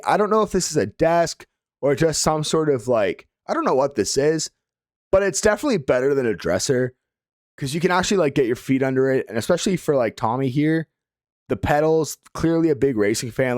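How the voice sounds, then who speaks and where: A young man with a slightly hoarse voice, casually reviewing viewers' gaming setups in a laid-back way, full of fillers. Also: on the high side, dry recording, clean studio sound. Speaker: gaming video creator.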